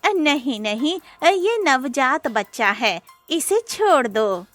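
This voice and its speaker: Woman as a highish-voiced, protective deer. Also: very animated, takes it slowly, fairly bright tone, faint music behind, exaggerated voice. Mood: firm. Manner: earnest, concerned. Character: brave, protective, worried deer who raises a child. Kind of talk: cartoon moral-story acting.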